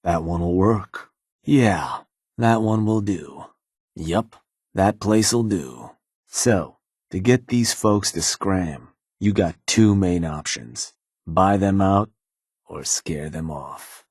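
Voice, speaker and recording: Game role: a laconic raider lieutenant, very low husky voice, dry studio sound. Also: male voice, a little mellow, dry recording, heavily acted. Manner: terse, businesslike, dry. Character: gruff male raider lieutenant, laconic, world-weary. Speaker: game character. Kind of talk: video game voice lines.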